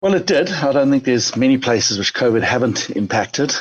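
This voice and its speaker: A man with a Zimbabwean accent, an executive answering interview questions in a measured way, with many fillers and restarts. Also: mid-range voice, expressive, no echo, lo-fi sound. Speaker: mining company chief executive. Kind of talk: business interview.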